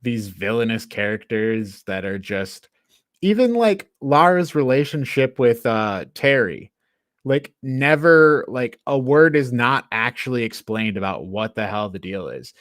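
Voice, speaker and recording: A man's voice: mid-range voice, expressive, measured pace, fairly bright tone, no echo, studio quality. A man co-hosting a casual film review podcast, talking in an exasperated, emphatic, slangy way. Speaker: podcast co-host.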